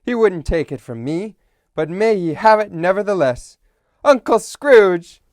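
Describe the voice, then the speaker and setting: A man's voice: high voice, lively intonation, a little slow, dry recording, clean studio sound, pitched above the performer's own voice. A man reading aloud and voicing a jovial young nephew who raises a toast in a hearty, raised voice. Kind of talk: audiobook character voice.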